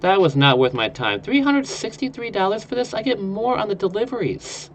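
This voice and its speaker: A man's voice: sits high, very animated, fairly quick, slight background noise. A man with a nasal, stuffed-up voice from a cold, rambling through casual gameplay commentary. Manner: rambling, lots of uh fillers. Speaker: game streamer.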